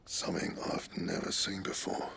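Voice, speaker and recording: An actor playing a gruff, blunt master blacksmith in a video game, grumbling in a rough, husky British voice. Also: male voice, normal speed, a bit bright, a little hiss or hum, natural voice. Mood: grudging. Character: gruff, grudging, foul-mouthed master blacksmith.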